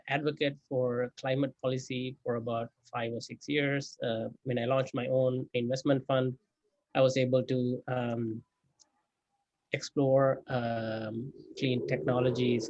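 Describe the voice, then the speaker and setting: Halting man on a muffled line. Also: mid-range voice, a little mellow, no room sound. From Sri Lanka. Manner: halting, many fillers. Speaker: guest, energy investor. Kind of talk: conversational interview answer. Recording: dull dry remote audio.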